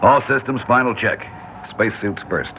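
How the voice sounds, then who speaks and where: An actor playing a calm, steady male spacecraft commander in an old radio drama, giving clipped orders. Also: medium pitch, normal speed, creaky, close to an everyday voice. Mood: commanding. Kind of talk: 1950s radio drama acting. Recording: tinny old radio broadcast with faint hiss.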